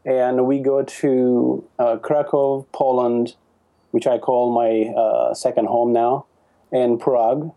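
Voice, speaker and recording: A man from Turkey, a guest answering questions in a friendly, halting way. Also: medium pitch, little pitch movement, gravelly, highs cut off. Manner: friendly, with frequent hesitations. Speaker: podcast guest, wedding photographer. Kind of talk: casual interview answers.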